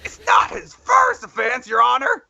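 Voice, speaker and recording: A man voicing a shrill, distressed victim and accuser in a shrieking, whiny manner. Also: big pitch swings, normal speed, creaky, pushed and bright, dull audio, exaggerated voice. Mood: indignant.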